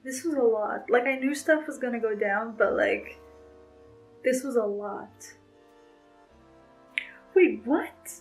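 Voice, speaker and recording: A woman's voice: on the high side, takes it slowly, long pauses, faint music behind, poor audio. A young woman in a casual read-along vlog, talking emotionally about a book she is reading, with lots of "like". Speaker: book vlogger.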